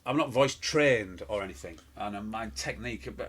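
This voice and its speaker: A man's voice: fairly low pitch, moderate pace, fairly bright tone, husky. A middle-aged man, a pantomime performer telling stories in an interview, rambling with fillers and restarts. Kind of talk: casual interview chat.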